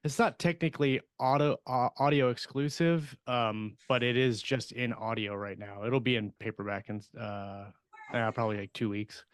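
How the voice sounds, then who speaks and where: A man with a clear, smooth voice of average pitch chats casually on a podcast. He speaks haltingly, and the recording is very dry. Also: fairly even intonation, no room sound. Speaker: podcast co-host and author. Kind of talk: casual chat.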